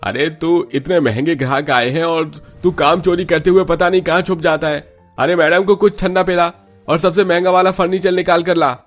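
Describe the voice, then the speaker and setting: A man's voice: fairly high pitch, fairly even intonation, normal speed, pitched above the performer's own voice, close to an everyday voice. Guy doing a cartoon shop owner, smarmy n bossy, music underneath. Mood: scolding. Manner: ingratiating to customers, scolding to staff. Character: obliging shopkeeper who yells at his lazy helper.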